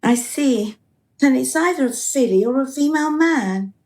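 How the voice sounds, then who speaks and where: A woman's voice: sits high, lively intonation, normal speed, darkish tone. A woman playing a dutiful, unhappy dead woman in a radio play, plaintive, earnest and eager to please. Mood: dismayed.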